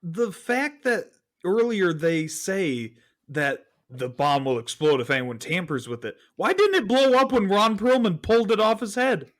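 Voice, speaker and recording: A man's voice: high voice, big pitch swings, measured pace. A man chatting casually about movies in a wry, nitpicking way. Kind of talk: casual movie discussion podcast chat.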